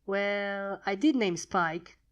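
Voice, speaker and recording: Narrator doing a polite girl pony from a story, earnest, bit indignant, medium pitch. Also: takes it slowly, a bit bright, smooth.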